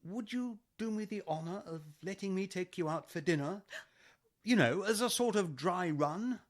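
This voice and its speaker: A man playing a polite, smooth-talking would-be squire in a radio play, formal and a little hesitant. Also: high-pitched, lively intonation, normal speed. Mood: courteous wooing.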